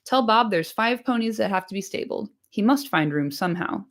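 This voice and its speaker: A woman voicing a fat, bustling, bald male innkeeper who talks quickly and without pause. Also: mid-range voice, lively intonation, close to an everyday voice. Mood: shouting, impatient. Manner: brisk, talkative, hurried.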